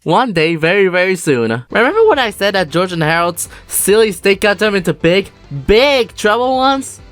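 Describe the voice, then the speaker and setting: A woman's voice: mid-range voice, very animated, moderate pace, no echo, studio quality, soft background music. A woman narrating a children's story for a fan dub with playful emphasis.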